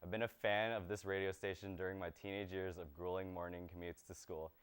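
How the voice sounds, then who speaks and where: Young man with a smooth, low voice. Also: little pitch movement, a little fast. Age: young adult. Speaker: student presenter. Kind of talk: scripted on-camera presenting. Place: small TV studio set.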